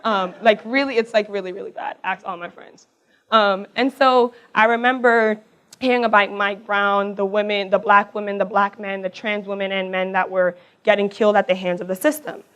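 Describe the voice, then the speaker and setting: A young woman with a raspy voice, giving a personal talk on a mic to a live audience, chatty and quick. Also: medium pitch, steady tone. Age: young adult. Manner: talks fast, warm and chatty, laughs between thoughts. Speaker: alumna speaker. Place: event hall with audience. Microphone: handheld or stage mic.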